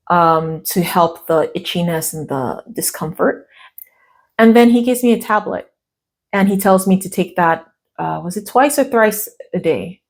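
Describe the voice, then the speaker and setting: An American woman vlogging casually, telling a story from her notes with quoted asides, her voice still worn from a cough. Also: fairly low pitch. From United States. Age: middle-aged. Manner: chatty, reading from notes, quoting others in asides. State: recovering from a cough and lost voice.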